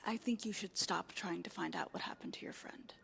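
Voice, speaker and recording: A woman's voice: lowish voice, brisk, creaky, whispered. A woman playing a guarded, authoritative nurse at a sinister institute in a horror audio drama. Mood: guarded, stern.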